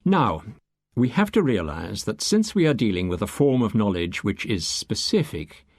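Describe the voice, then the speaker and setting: A man reading scripted, lecture-like exposition for an audiobook in a measured, deliberate way, with emphatic phrasing. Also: fairly low pitch, very animated, no room sound, studio quality. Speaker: audiobook reader.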